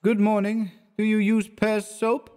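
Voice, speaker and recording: Brief: male reader doing a tipsy, rambling young man, pitched high, words tripping over each other. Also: completely flat delivery, darkish tone, clear, resonant tone. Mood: maudlin tipsy. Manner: slurred, halting, repeating words. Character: drunk young male artist, maudlin and rambling.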